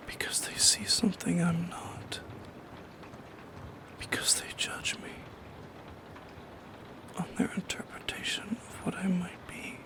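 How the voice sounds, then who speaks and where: A lonely shadow creature of the night, played by an ASMR performer, speaking in a breathy whisper. The words come very slowly and hesitantly, in a wistful, philosophical roleplay monologue. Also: mid-range voice, flat delivery, natural voice. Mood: anguished, fearful. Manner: slow, hesitant, philosophical musing. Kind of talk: soft-spoken ASMR monologue.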